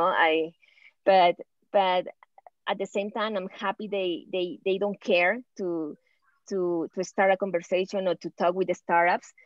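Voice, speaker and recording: A middle-aged woman from Colombia, a native Spanish speaker with a Colombian accent, speaking halting English as a guest on a video call, with many restarts. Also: lowish voice, dry recording. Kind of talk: casual talk on a video call. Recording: dull, lo-fi call audio.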